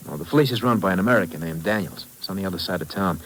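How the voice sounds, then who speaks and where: An actor playing an earnest, halting but insistent male informant in a 1950s radio drama. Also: low voice, a bit bright. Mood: earnest, hesitant. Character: earnest male informant offering a hesitant hunch. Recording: noisy vintage 1950s radio recording.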